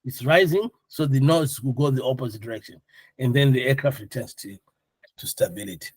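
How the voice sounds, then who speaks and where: A man with a medium-pitched voice teaches a technical class as its instructor. He is conversational and jokey, explaining things informally. The sound is dry, with hardly any room echo. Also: brisk. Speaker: air traffic control course instructor.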